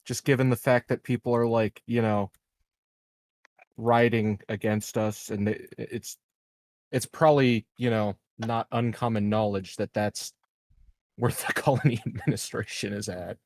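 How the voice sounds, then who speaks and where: A man's voice: fairly low pitch, fairly even intonation, a little slow, a little mellow, no room sound. A man chatting casually as a player in a tabletop game, hesitant as he thinks aloud. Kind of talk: casual chat.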